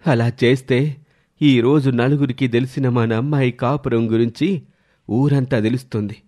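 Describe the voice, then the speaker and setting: A stern middle-aged father from a family drama story, acted by a man. He is protective, firm and deliberate, with a mid-pitched voice. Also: a little mellow, lightly acted. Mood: worried, sorrowful.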